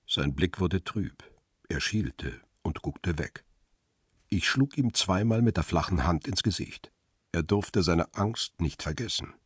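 An actor playing a cool, hard-boiled first-person narrator in a radio crime drama, with a deep husky voice and terse, matter-of-fact delivery. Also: male voice, expressive, slow, no echo, clean studio sound, heavily acted. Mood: cool, detached.

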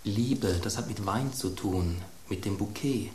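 Pompous, lowish man as a sensual fine wine. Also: unhurried, a little mellow, echoey room, slight background noise, natural voice. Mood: savouring. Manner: pompous, savouring. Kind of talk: German radio play acting.

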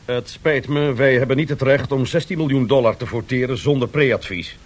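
Actor as husky, formal bank official. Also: male voice, mid-range voice, natural voice. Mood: cautious. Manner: formal, measured. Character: cautious, formal male central bank official. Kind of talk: 1970s radio drama acting.

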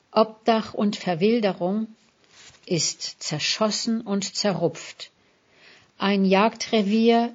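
A woman reading a scripted literary feature as a presenter, in a measured, articulate manner with deliberate emphasis. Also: lowish voice, lo-fi sound.

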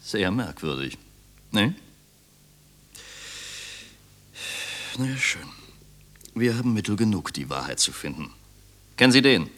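Menacing, wide-swinging police interrogator. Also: male voice, fairly low pitch, very animated, moderate pace. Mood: sardonic. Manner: insistent, clipped, swinging from quiet to sharp. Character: menacing, probing male police interrogator, coldly sardonic. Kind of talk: 1970s German radio drama acting.

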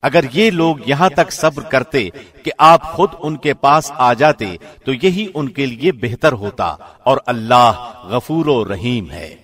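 A man's voice: mid-range voice, expressive, a bit bright. A man reading a scripture translation from a script, in the solemn style of a radio presenter.

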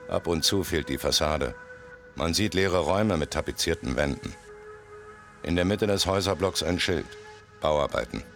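Radio play casting: a tough, tight-lipped mobster narrator with a very low register, over music. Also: male voice, moderate pace, gravelly, fairly bright tone. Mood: grim, detached. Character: tough, laconic male gangster recounting his rise.

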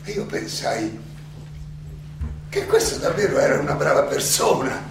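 Echoey far-off noisy stage recording, wry old greek philosopher pleading his case, slow n halting, ironic. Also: fairly high pitch, wildly swooping pitch, gravelly, full character voice. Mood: storytelling, sly. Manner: halting, hesitant, ironic, with repetitions and chuckles. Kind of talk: theatrical stage monologue, conversational oratory.

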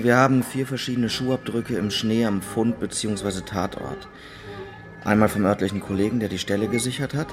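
An actor playing a special investigator from the mainland in a radio drama, businesslike and pressing. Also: male voice, medium pitch, lightly acted. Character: brisk, insistent male special investigator from the mainland. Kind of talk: naturalistic German radio drama dialogue.

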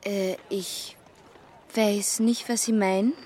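A woman's voice: mid-range voice, steady tone, takes it slowly, warm tone, slight background noise, lightly acted. A woman playing a shy, naive teenage butcher's daughter, hesitant and guarded, in a German radio crime drama. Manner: hesitant, guarded, simple short answers.

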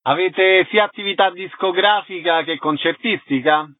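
Higher-pitched male radio host, thin sound. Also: normal speed, phone-quality sound. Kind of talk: scripted radio interview presenting.

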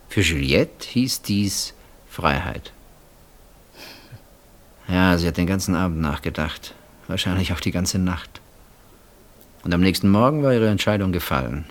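An actor playing a bitter, sardonic older husband who has been betrayed, speaking with brooding pauses in a German radio play. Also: male voice, deep voice. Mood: bitter reminiscence.

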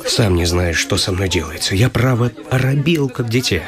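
A man's voice: lowish voice, expressive, moderate pace, a crowd in the background, natural voice. An actor playing a shy, lovestruck young man who muses nervously and formally in a radio comedy. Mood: nervous, smitten. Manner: introspective, formal asides. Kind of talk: theatrical radio comedy acting.

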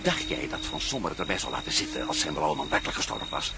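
A decisive male adventurer from a radio play, speaking quickly with lively, swinging pitch. He lays out danger emphatically, as one who gives the orders. The old drama recording is clearly noisy. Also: heavily acted. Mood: grave, explaining.

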